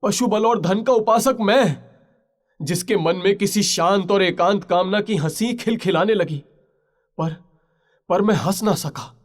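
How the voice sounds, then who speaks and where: Lovelorn pirate-turned-mariner played by a man: high male voice, proud yet pleading, halting, passionate audio-story delivery. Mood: impassioned pleading. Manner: impassioned, pleading, halting pauses. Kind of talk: Hindi literary audio story drama.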